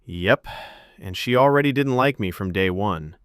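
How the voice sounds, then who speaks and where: Young actress in a serialized story, defensive, unsure of her feelings, lively inflection male narrator acting her. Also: lowish voice, moderate pace, smooth, dry recording, clean studio sound, natural voice. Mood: rueful. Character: Emma, young actress, defensive and quietly confused.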